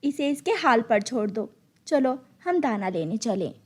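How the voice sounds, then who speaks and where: Woman voicing an earnest, husky, higher-pitched cartoon bird. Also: very animated, a little slow, a little mellow, exaggerated voice. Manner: earnest, admonishing. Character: diligent, scolding little female bird. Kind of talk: Hindi children's cartoon voice acting.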